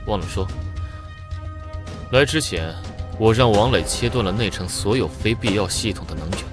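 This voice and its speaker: A man playing a cold, commanding superpowered avenger in a motion comic, speaking with calm, deliberate menace. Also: lowish voice, music in the background, lightly acted. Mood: calm menacing. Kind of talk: dramatic Chinese motion-comic voice acting.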